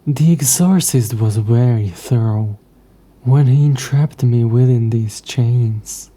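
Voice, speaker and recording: A man voicing a smug, coy, centuries-old demon in an audio roleplay, speaking in a hushed, breathy voice with haughty, old-fashioned, taunting phrasing. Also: medium pitch, slow pace. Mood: puzzled, indignant. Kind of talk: scripted audio roleplay addressed to the listener.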